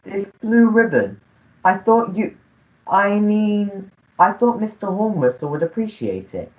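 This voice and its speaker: A woman's voice: mid-range voice, very animated, a little slow, muffled recording. A woman playing a long-suffering, deferential English manservant in a comic melodrama radio play, formal and apologetic.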